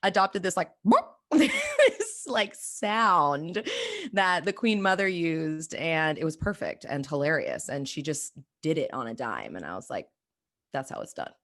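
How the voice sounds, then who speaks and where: A woman's voice: low-pitched, sing-song, measured pace, a bit bright. A woman actor telling anecdotes in an informal, casual interview manner.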